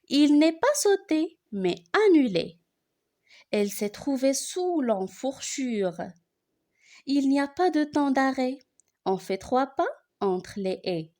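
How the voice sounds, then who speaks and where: A woman reciting a poem for learners in an expressive way, phrasing it deliberately line by line. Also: a little high.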